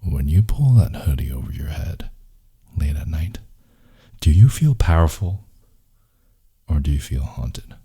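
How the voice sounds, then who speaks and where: A very deep, breathy male voice, an actor as a brooding yandere boyfriend in an ASMR audio story. He talks slowly and intimately, slipping into husky whispers with dramatic pauses. The tone is possessive and wounded, with a dark, mellow timbre. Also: big pitch swings, full character voice. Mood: hurt, accusing. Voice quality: very low, breathy, husky, often dropping to a whisper. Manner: slow, intense, teasing murmur with dramatic pauses.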